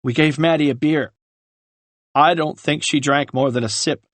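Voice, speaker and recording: A man voicing a guilt-ridden young man who confesses haltingly, on the edge of tears. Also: a little high, fairly even intonation, fairly bright tone, no room sound, natural voice. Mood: haunted. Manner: halting, breaking with remorse. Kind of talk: audiobook character reading.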